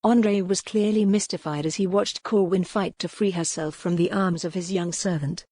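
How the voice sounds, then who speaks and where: A woman's voice: fairly low pitch, fairly even intonation, normal speed, warm tone, slightly rough, no echo. A woman reading a historical novel as scripted, dramatic audiobook narration.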